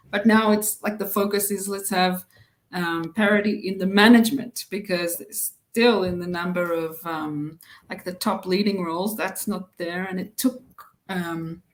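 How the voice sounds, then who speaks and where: A woman speaking as an interview guest, explaining things casually and hesitantly, thinking aloud in fragments. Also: lowish voice. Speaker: interview guest, press club president.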